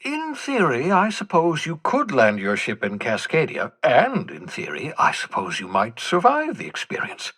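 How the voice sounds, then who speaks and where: An actor playing an eccentric, vain older mad scientist in a video game, with a husky voice and a theatrical, erudite, rambling manner. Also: male voice, a little high, wildly swooping pitch, normal speed, hardly any pauses, fairly bright tone, full character voice. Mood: brisk, wry. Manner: theatrical, erudite, rambling, swinging from mutters to exclamations. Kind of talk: video game character dialogue.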